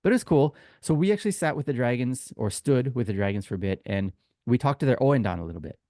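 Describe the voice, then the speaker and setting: A man's voice: mid-range voice, animated, fast, warm tone, dry recording, studio quality. A man co-hosting a casual sports podcast, telling anecdotes in a chatty, informal way and acting out exchanges. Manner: chatty, informal storyteller who acts out quoted exchanges. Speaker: podcast co-host and tour guide.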